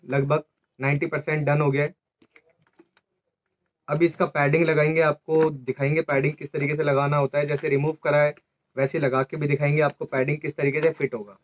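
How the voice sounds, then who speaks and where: A man's voice: a little high, highs cut off. A man giving a hands-on tutorial, explaining step by step in a small indoor room. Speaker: presenter of a motorcycle gear channel. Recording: faint background noise with clicks.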